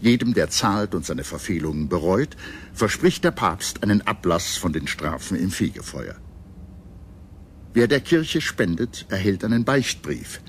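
A man reading scripted history documentary narration in a measured, solemn and dramatic manner. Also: deep voice, big pitch swings, slight background noise.

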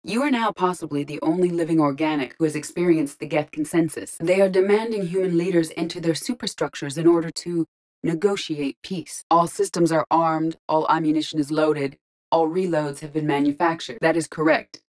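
A courteous female artificial intelligence from a sci-fi video game, played by a woman. The voice sits low and stays composed. Each phrase is measured and exact, with formal wording and a dry, deadpan delivery. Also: close to an everyday voice. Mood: composed, matter-of-fact. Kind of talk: scripted video game dialogue.

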